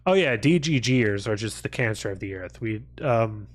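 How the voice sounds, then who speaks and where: A man's voice: lowish voice, expressive, moderate pace. A man hosting a casual livestream, rambling along and swearing freely. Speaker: livestream host.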